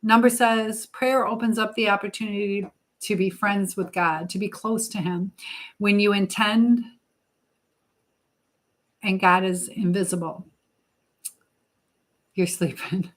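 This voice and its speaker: A woman's voice: mid-range voice, steady tone, slow pace, pauses often. A middle-aged woman hosting a casual live chat, talking directly to viewers and giving motherly advice. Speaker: livestream host.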